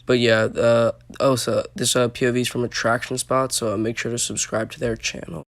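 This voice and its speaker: A young man talks over video with a hoarse, froggy voice and a gravelly edge. His delivery is loose and casual, full of fillers and restarts. His pitch sits in an ordinary middle range. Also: flat delivery, normal speed. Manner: rambling with many fillers. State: hoarse from allergies. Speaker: roller coaster reviewer.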